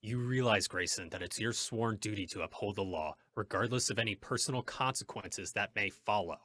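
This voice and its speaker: Man playing low, husky, commanding masked vigilante. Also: pushed and bright. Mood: stern, probing. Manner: commanding, formal, deliberate phrasing. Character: commanding masked male crime-fighting vigilante, stern and righteous. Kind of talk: old-time radio drama acting.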